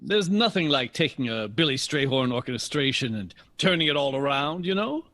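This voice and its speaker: A man's voice: mid-range voice, expressive, moderate pace, fairly bright tone, lightly acted. A man acting in an audio drama as a genial, teasing veteran jazz bandleader interviewed on radio. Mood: breezy, self-assured.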